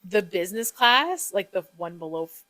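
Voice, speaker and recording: A woman chatting casually with a friend, telling stories in a giggly, animated way. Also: mid-range voice, moderate pace. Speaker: podcast host.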